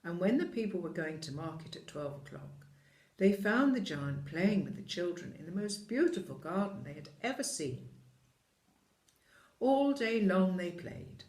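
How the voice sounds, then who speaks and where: A storyteller reading a children's tale with deliberate, dramatic phrasing. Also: mid-range voice, expressive, a little mellow. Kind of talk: expressive scripted storybook narration. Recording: echoey room.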